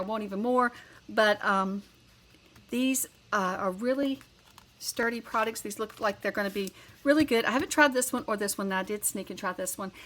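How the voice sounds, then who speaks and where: Southern-accented woman hosting a craft channel; bright, chatty, rambles and corrects herself. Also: medium pitch. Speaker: card-making channel host. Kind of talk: casual craft tutorial.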